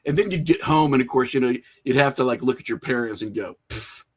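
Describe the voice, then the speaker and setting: A middle-aged man chatting casually as a podcast guest, telling animated stories and acting out quoted remarks. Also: mid-range voice, fast talker. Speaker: podcast guest, filmmaker and horror creator.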